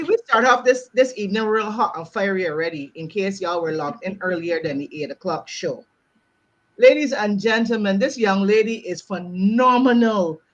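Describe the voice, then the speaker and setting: A woman's voice: mid-range voice, muffled recording. A woman hosting a live-streamed interview, building up her guest with emphatic showman introductions. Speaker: show host.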